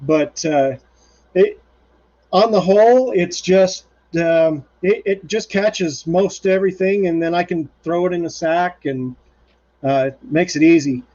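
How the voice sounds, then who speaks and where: Male woodturner host, slightly high voice, loose and rambly, home-shop stream with dull audio. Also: measured pace, darkish tone. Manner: rambling, many fillers and restarts. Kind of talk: casual live demonstration. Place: home woodshop.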